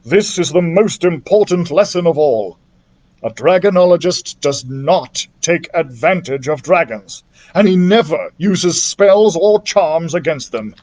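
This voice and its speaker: Man as older male scholar, storybook mentor, kindly, wise, measured, instructive, varied intonation. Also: on the high side, lively intonation, darkish tone. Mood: solemn.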